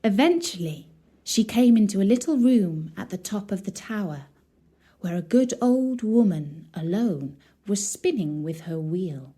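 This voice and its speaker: Slow, mellow woman storyteller. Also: medium pitch, expressive, slightly rough. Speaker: storyteller, audiobook narrator. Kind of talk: scripted fairy tale narration for children.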